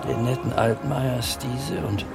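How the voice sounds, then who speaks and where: A crusty old movie director, grumbling with courtly flourishes in a radio drama. His voice sits at middle pitch, with loud music behind it. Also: slow, vocal fry. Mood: crusty, genial. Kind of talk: radio play dialogue.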